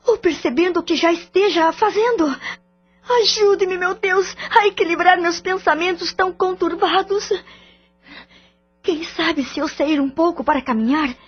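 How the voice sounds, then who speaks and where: A woman voices a troubled middle-aged mother with a high voice, anxious and pleading. The audio is dull and lo-fi, with soft music underneath. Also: normal speed.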